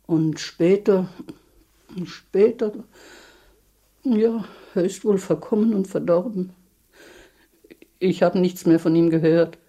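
A village storyteller in a radio drama, with a low, husky voice. She gossips fervently and insists on every point, pressing it home with emphasis. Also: warm tone, heavily acted. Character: talkative village woman, fervent admirer of a reformed robber. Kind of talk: German radio drama acting.

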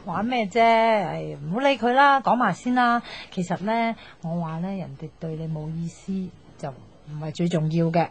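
A woman's voice: on the low side, moderate pace, few pauses, husky. Blunt, chatty young Hong Kong woman. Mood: nosy, advising. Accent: Hong Kong Cantonese. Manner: chatty, blunt, sighing, mock-exasperated. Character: outspoken, teasing young woman, best friend giving love advice. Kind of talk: naturalistic radio drama acting. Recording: slightly dull, music underneath.

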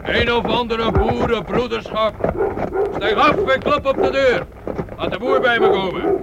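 An actor playing an arrogant, brutal medieval knight in a radio play, giving contemptuous orders. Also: male voice, very high-pitched, steady tone, unhurried, muffled recording. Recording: loud hiss on an old tape.

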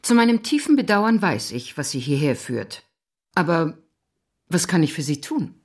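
An actress playing a proud, cold upper-class mother in a radio drama, formal and controlled, with a husky voice. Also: low voice, sing-song, normal speed, heavily acted. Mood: cool, formal.

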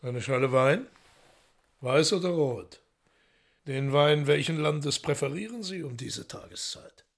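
An urbane foreign magician in a radio drama, with a creaky, gravelly edge to his voice. He speaks with grand courtesy and lordly, theatrical indignation. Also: fairly high pitch, measured pace. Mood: suave courtesy.

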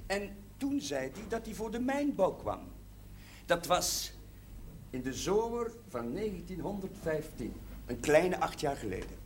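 A jovial middle-aged man making a celebratory speech in a television drama. His voice is high, and he tells anecdotes with rhetorical swells. The old soundtrack carries faint noise. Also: big pitch swings, unhurried. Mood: wry, nostalgic.

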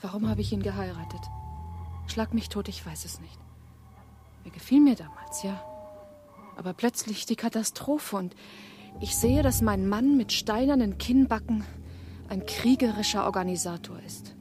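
A woman playing hushed, intense young wife. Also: medium pitch, normal speed, pauses often, a little hiss or hum, lightly acted. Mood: urgent. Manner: intense, often hushed and whispering. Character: Eva, young wife torn between two men. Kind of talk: German radio play acting.

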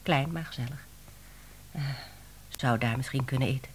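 An actress playing a wry, harried middle-aged woman editor in a Dutch radio play, expressive and exclamatory. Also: rumbling low, normal speed, slight background noise. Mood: reassuring.

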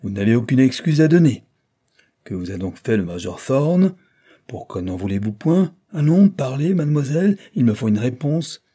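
A man voicing an irate, stubborn older judge who shouts and hectors. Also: lowish voice, sing-song, normal speed. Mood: furious.

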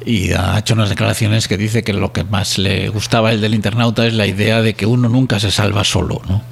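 A man's voice: on the low side, level tone, a little fast, creaky, slightly rough. A man with a Spanish accent from Spain, co-hosting a podcast in casual, opinionated conversation. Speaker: podcast co-host.

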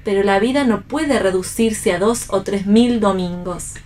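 A woman's voice: mid-range voice, a little slow, a little mellow, natural voice. A woman acting a romantic, devoted wife in a radio play, with a formal, poetic way of speaking. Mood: earnest idealism. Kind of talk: staged radio play reading.

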